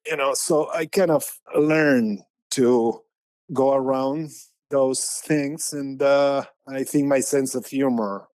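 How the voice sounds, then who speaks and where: An older man in his sixties speaks with a Spanish accent at an ordinary middle pitch. He rambles through his answers, full of pauses and restarts. The recording is dry and close, with no room sound. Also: a little mellow, no echo. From Spain. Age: 60s. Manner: rambling, many fillers and restarts. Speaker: painter, podcast guest. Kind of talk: casual interview.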